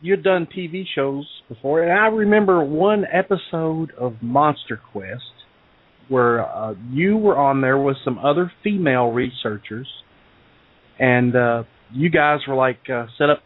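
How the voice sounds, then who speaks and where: A man's voice: fairly high pitch, unhurried, dry recording. A male co-host asking questions in an easygoing, jokey way and laughing often. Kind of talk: casual radio interview. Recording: dry, tinny phone line.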